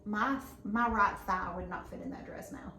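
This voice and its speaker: A woman's voice: mid-range voice, normal speed, pushed and bright. A woman, chatting casually as co-host and laughing often. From South Carolina. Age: 40s. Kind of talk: casual chat. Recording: echoey room, mic at a distance, faint noise.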